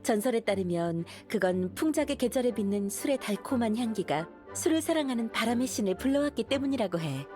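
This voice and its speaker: A woman's voice: fairly high pitch, lively intonation, brisk, few pauses, mellow, soft background music, close to an everyday voice. A woman playing a dutiful young knight commander in an anime game, formal, earnest and authoritative. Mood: earnest, explaining.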